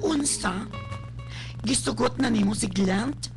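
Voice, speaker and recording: A woman playing a fussy, caring, excitable nanny in a melodramatic radio drama, exclamatory and scolding, with a Cebuano Filipino accent. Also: a little high, slow, soft background music. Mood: advising, chiding. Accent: Filipino, Cebuano-speaking. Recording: faintly noisy live radio capture.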